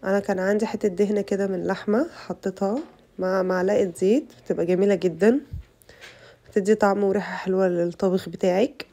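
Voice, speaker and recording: A young Egyptian woman with an Egyptian Arabic accent, her voice rough from a bad cold, chatting to her viewers through a casual cooking tutorial. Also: mid-range voice, flat delivery, fast talker, dark tone. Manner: chatty, addresses viewers as girls. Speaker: cooking channel host.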